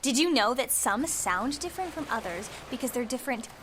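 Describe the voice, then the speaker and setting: A woman's voice: a little high, expressive, brisk, background noise, close to an everyday voice. A woman voicing a bubbly, cheerful young woman in an audio drama, gushing and upbeat.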